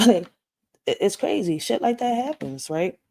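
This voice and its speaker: A woman's voice: medium pitch, expressive, unhurried, vocal fry, dark tone. A woman from Puerto Rico talking straight to her viewers about parenting and family, emphatic, checking in often with "right?" and "you know". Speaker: parenting vlogger. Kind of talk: casual personal monologue to viewers.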